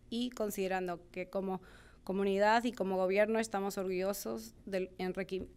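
A woman's voice: medium pitch, clean tone. Woman reading a formal proclamation. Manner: steady, formal, with small restarts. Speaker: council member reading a proclamation. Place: council meeting room. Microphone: podium microphone.

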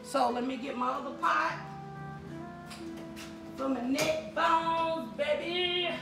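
A woman's voice: high-pitched, fairly even intonation, takes it slowly, vocal fry. A woman, chatting casually with viewers in her home kitchen, filmed by a camera on the counter. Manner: chatty, folksy, talks to viewers as y'all. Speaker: cooking vlogger. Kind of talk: casual cooking vlog talk to viewers. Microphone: camera on the counter, moved around. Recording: echoey kitchen with background music.

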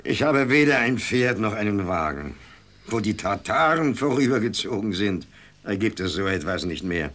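An actor playing a grave, kindly plain-spoken rescuer in a radio play, with a raspy voice. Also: male voice, fairly low pitch, very animated, moderate pace, fairly bright tone. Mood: grave, caring. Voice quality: raspy, creaky. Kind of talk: German radio play acting.

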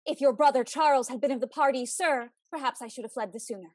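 A young woman acts an indignant young gentlewoman in a comedy of manners. Her voice is very high. She is prim and forthright in formal period speech. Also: fast. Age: young adult. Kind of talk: period stage comedy acting.

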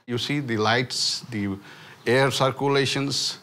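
A man's voice: mid-range voice, steady tone, slow, sharp tone. A middle-aged man from the local community giving an earnest, plain interview answer, on a lapel mic indoors. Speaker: community member. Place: indoors by a brick wall.

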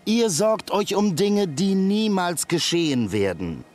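A man's voice: high voice, very animated, takes it slowly, soft background music, heavily acted. A man playing a haughty, dismissive baron and war commander in a fantasy game, pompous and declamatory. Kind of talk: dubbed fantasy game cutscene acting.

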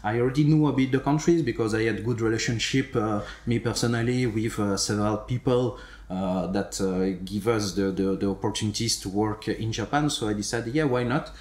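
Low-voiced man, hesitant, roomy sound. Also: a little fast, a little mellow. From Ukraine, raised in Belgium. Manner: hesitant, many "uh" fillers and restarts. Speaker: guest, company director. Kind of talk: conversational interview. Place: studio room with sofa. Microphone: desk microphone. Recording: roomy echo.

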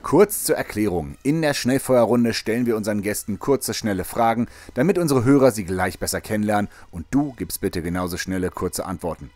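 A man's voice: fairly low pitch, expressive, a little fast, hardly any pauses, darkish tone, dry recording, natural voice. A man playing a peppy, jovial propaganda host of a military morning radio show, with showy, chummy presenter patter. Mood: chatty, upbeat. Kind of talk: radio show moderation in audio drama.

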